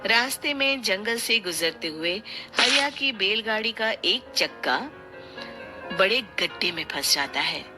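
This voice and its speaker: A woman's voice: mid-range voice, animated, unhurried. A woman narrating a scripted moral story as a storyteller. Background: music.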